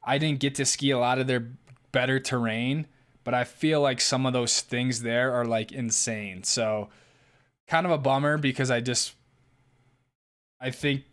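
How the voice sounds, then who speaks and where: A man's voice: medium pitch, fairly even intonation, a little slow, fairly bright tone. Laid-back young man chatting on stream. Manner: laid-back, laughs often, swears casually. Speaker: livestream host reading chat. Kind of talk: casual live stream chat.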